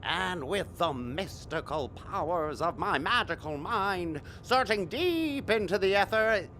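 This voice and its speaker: An actor playing a grumpy, boastful stage magician in an audio drama, sarcastic and theatrical in manner. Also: male voice, lively intonation, slow pace, sharp tone, full character voice. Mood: showy, grandiose. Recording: faint noise with low rumble.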